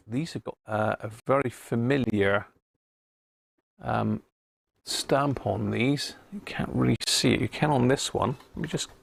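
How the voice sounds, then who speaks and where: A man's voice: mid-range voice, gravelly, breathy edge, no echo. A British man hosting a livestream, chatting to viewers in an informal, matey, casual way. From United Kingdom. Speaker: livestream host. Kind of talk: casual live chat to viewers.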